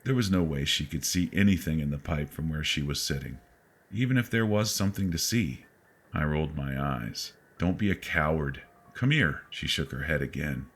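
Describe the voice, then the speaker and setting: A man narrating a horror story in the first person, with deliberate, suspenseful delivery and dramatic pauses. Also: a bass voice, animated, slightly rough.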